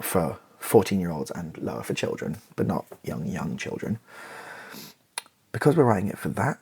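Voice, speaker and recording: A British man doing casual, improvised ASMR, thinking aloud in hesitant fragments with a soft, breathy, partly whispered voice. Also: sits low. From Britain. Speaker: ASMR video creator thinking aloud while writing.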